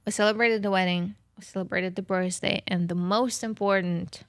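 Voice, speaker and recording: Clear-voiced Ukrainian woman telling her story. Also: mid-range voice, steady tone, moderate pace, no room sound, clean studio sound. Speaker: podcast host telling own story. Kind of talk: casual conversational storytelling.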